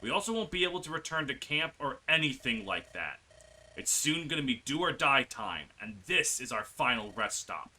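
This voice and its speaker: Earnest fantasy game companion read by a man, lively and bright, dramatic delivery, light music. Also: medium pitch, normal speed, full character voice, rough recording. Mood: earnest, urgent. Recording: music behind the voice.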